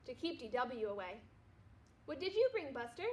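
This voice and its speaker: A good-natured young storybook boy, as a woman reads him. He speaks high, earnestly and plainly. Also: big pitch swings, normal speed. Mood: chatty.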